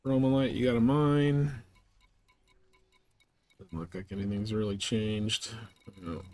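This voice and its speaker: Slow-talking man streaming a game. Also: lowish voice, steady tone, mellow. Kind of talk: casual gameplay commentary.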